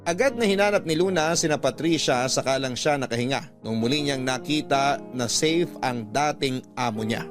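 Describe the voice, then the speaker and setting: A man's voice: medium pitch, normal speed. A man with a Filipino accent narrating a scripted dramatic story as a radio drama storyteller. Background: background music.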